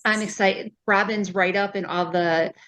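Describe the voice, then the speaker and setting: A woman's voice: medium pitch, steady tone, unhurried, a bit bright. A woman from the Chicago area introducing herself on a group call, sounding upbeat and laughing lightly. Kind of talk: casual self-introduction. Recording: dull lo-fi call audio.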